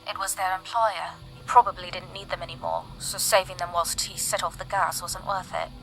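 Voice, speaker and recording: A woman playing an earnest, brisk adventurer who explains things, in a fan audio drama. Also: lowish voice, steady tone, a bit bright, faint music behind, close to an everyday voice, low-quality recording. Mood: urgent, explanatory.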